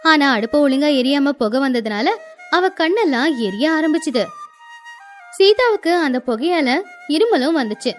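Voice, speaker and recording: A woman's voice: high voice, lively intonation, normal speed, faint music behind. A woman narrating a scripted moral story.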